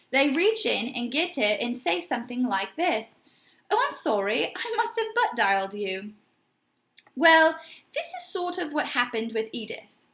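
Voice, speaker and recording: High, animated woman reading a children's story. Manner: puts questions to young listeners. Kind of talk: expressive children's storybook narration.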